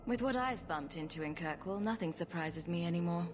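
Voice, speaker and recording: A woman's voice: lowish voice, expressive, brisk, close to an everyday voice. Woman as wry adventurer heroine over music. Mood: direct, inquiring. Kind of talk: video game voice acting.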